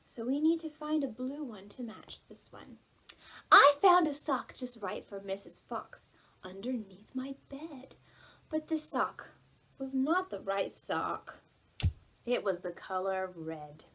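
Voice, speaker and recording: Female children's storytime reader, very lively intonation, unhurried delivery, playful and talking straight to little ones. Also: fairly high pitch, breathy edge, low-quality recording. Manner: expressive, playful, speaking directly to young children. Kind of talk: animated preschool storytime read-aloud.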